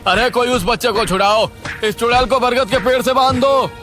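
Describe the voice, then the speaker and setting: Man playing an agitated, high-pitched villager. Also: moderate pace, music in the background. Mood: alarmed, urgent. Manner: loud, indignant, scolding. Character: agitated rustic village man in a horror tale.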